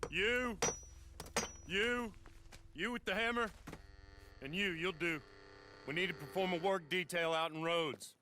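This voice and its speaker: A man's voice: high-pitched, a little slow, pauses often, noisy background, pitched above the performer's own voice. A man as a barking prison guard. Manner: shouted orders.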